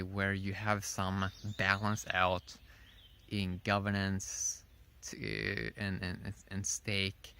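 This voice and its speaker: A man giving a casual, unscripted opinion monologue in a rambling, halting way, often repeating his phrases. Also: low voice, pushed and bright, slight background noise. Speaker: vlogger.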